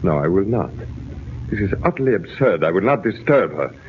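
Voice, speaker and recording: A haughty, indignant count, low-pitched, old radio. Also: male voice, very animated, a little fast. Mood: haughty, impatient. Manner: pompous, formal, indignant. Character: haughty middle-aged Polish count, a refugee, indignant and easily flustered. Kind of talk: 1940s radio serial acting. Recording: scratchy, narrow-band 1940s radio recording.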